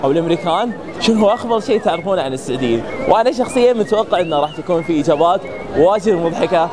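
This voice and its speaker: A man with a Gulf Arabic accent greets his viewers in a friendly, chatty way. His voice sits high and he talks very quickly. A crowd murmurs in the background. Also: expressive. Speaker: vlogger host. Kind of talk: casual video intro.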